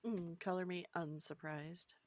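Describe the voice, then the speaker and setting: A woman's voice: on the low side, steady tone, measured pace, dry recording, studio quality. A woman co-hosting a podcast with casual, conversational commentary. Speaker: podcast co-host.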